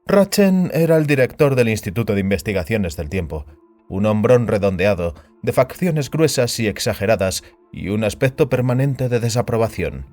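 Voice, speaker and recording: Male storyteller, lowish pitch, scripted dramatic narration, audio fiction, faint background music. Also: very animated, normal speed, darkish tone.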